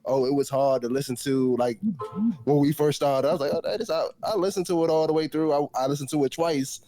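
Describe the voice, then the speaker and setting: A slightly high-voiced man chats casually on a music podcast, laughing easily. He acts out the reactions he quotes, jumping up in pitch to do it. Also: steady tone, a little fast, dark tone. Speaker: podcast co-host. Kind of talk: casual chat.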